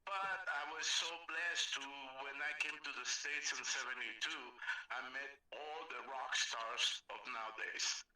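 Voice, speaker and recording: A man's voice: mid-range voice, measured pace, pushed and bright. An older man from Peru, a native Spanish speaker with a Peruvian accent, chatting casually as a caller to a radio show. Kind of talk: casual radio call-in chat. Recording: very echoey, distant call over crowd chatter.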